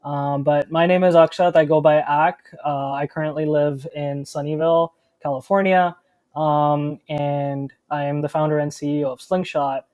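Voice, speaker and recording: A man's voice: on the high side, little pitch movement, normal speed, a little mellow, no echo. A young man from India talking casually as a startup founder guest, chatty with many fillers and restarts. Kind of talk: casual interview.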